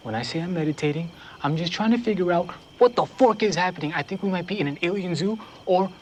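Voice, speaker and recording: A man's voice: high voice, fast, slight background noise. A young man from Florida in a sitcom scene, talking in a dim, easygoing slacker way. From Jacksonville, Florida. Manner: dim, laid-back slacker talk. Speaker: sitcom character. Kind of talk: sitcom acting.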